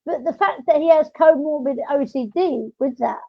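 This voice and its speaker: A woman's voice: high-pitched, normal speed. A woman talking in an informal, chatty way as she hosts a casual podcast. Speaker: podcast host. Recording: dull lo-fi audio.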